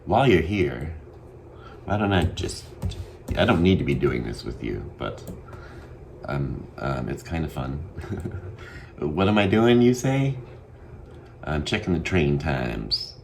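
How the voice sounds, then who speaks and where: A man with an extremely deep voice and a Boston accent talks through his day in a casual video diary. He speaks haltingly and candidly, full of ums and trailing pauses. A faint hum of room ambience sits behind him. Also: very animated, gravelly. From Massachusetts. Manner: halting, many ums, soft-spoken and candid. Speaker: vlogger.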